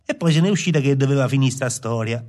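Radio drama poet, male actor, southern Italian accent, mid-pitched, lovesick and self-absorbed, rambles on intimately. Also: fast, darkish tone, natural voice. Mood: wistful, confiding. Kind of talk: radio play acting.

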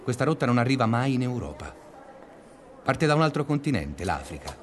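An actor playing a reflective, pedantic schoolteacher who narrates a confessional radio-drama monologue in measured, deliberate phrases. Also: male voice, medium pitch, very animated, soft background music, lightly acted. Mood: measured, intent.

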